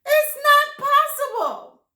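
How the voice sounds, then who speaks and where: A woman doing a terrified old storybook wife, shrieking her lines high and loud. Her pitch leaps about wildly, ringing in an echoey room. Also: big pitch swings, slow, heavily acted. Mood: alarmed. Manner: shrill, panicky crying out. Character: frightened, nasty old wife, easily panicked.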